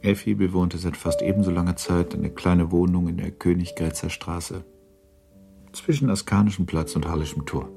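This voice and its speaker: A man narrating a classic novel for a radio drama as a sober, measured and matter-of-fact storyteller. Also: low voice, fairly even intonation, vocal fry, music in the background.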